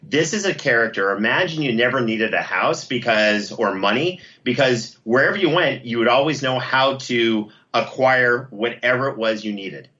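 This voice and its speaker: A man talking as an interview guest in an emphatic, pitching, storytelling way, over a slightly dull connection. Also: expressive, moderate pace, hardly any pauses, sharp tone. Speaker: podcast host and producer, interview guest. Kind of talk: conversational interview.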